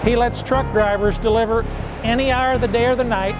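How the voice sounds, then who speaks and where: A man's voice: very high voice, steady tone, a little fast, loud music behind the voice, low-quality recording. An older man, a veteran meat cutter, giving an emphatic, folksy testimonial. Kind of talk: testimonial interview.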